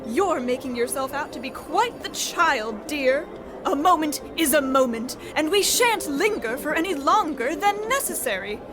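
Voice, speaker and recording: Woman playing high, lilting, breezy genteel wife. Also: lively intonation, moderate pace, music in the background. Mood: chiding. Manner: genteel, theatrical. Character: breezy, flirtatious, gently chiding wife. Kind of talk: old-time radio comedy acting.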